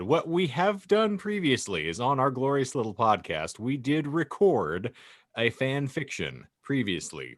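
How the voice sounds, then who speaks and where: A man with a lowish voice hosts a comedic podcast in a dry, close sound. His delivery is animated and swoops around, full of wry, mock-formal turns of phrase and casual banter. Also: no room sound. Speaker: podcast host.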